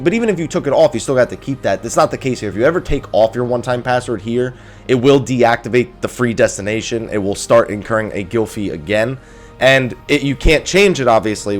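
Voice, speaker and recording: A man hosting a casual gaming news update, sounding nasal and stuffy from just waking up, chatty with self-amused asides. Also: medium pitch.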